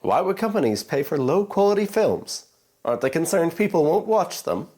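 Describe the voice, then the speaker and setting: A man playing a theatrical, probing stage host in an audio drama, with a deliberate, rhetorical delivery. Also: fairly high pitch, very animated, darkish tone, breathy edge. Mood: probing, controlled. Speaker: narrator and on-stage interviewer. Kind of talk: scripted stage narration.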